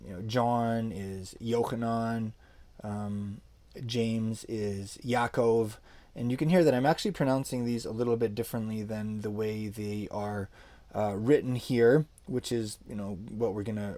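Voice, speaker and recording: A man's voice: medium pitch, dark tone. A man giving an informal explanatory lesson as a language teacher, talking conversationally with emphatic asides. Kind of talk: informal explanatory lecture, reviewing a book.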